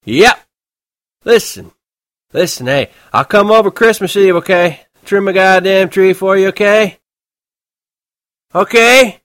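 A man voicing a very drunk teenage boy shouting and pleading into a phone. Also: sits high, slow pace, long pauses, dry recording, clean studio sound, pitched above the performer's own voice. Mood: drunk yelling.

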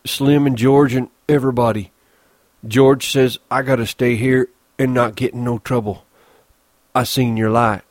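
A man's voice: a little slow, dry recording, natural voice. A man reading a story, voicing a big simple-minded grown man who talks eagerly and childishly. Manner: pleading, eager, rises high when excited.

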